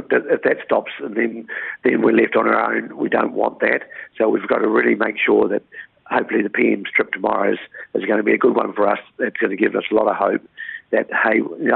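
A New Zealand man speaking as a town mayor in a phone interview, with a croaky voice and an earnest, rambling way of talking that restarts words. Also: fairly low pitch, dry recording. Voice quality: croaky, gravelly voice. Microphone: phone line. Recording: tinny phone line, dry.

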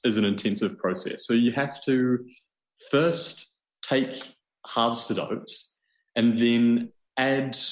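A New Zealand man, a journalist guest, explains things conversationally and with enthusiasm. His voice is fairly low, and the recording is echoey and roomy. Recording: roomy, echoing sound.

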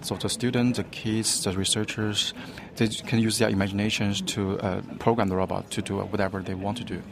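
A man's voice: fairly low pitch, flat delivery, fairly quick, creaky, background noise. A man explaining and demonstrating in an interview, speaking non-native English. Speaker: robot demonstrator.

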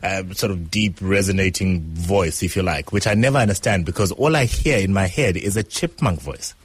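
A man's voice: low-pitched, normal speed, breathy edge, no echo. A man hosting a radio call-in show, friendly and joking with callers. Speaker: radio host.

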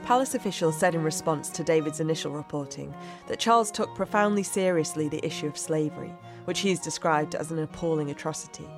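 Woman reporter narrating over soft music. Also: low voice, fast talker, hardly any pauses. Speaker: reporter and podcast host. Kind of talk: scripted narration.

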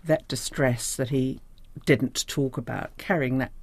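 A woman's voice: rumbling low, fairly even intonation, unhurried, slightly rough, dry recording. An older woman with a New Zealand accent speaking reflectively as an interview guest, thoughtful and often searching for her words. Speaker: interview guest, diary editor.

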